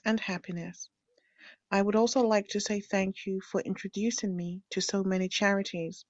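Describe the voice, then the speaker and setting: Woman w warm jokey advice, dry lo-fi audio. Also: mid-range voice, dry recording. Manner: warm, gently joking. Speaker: fan sending a message. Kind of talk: recorded video message. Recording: dry, dull lo-fi recording.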